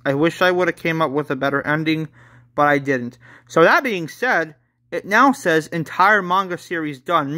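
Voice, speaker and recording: A man with a slightly high-pitched voice hosts an informal read-along. He speaks very slowly and rambles in an offhand, blunt manner as he moves between reading and commentary. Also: bright, forceful tone, clear, resonant tone. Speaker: host reading his own manga aloud.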